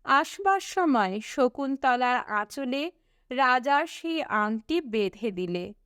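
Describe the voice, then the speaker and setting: A woman narrates a storybook with expressive, measured phrasing. Her voice is fairly high and very smooth, and she takes her time over every line. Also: dry recording, clean studio sound. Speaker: audiobook reader.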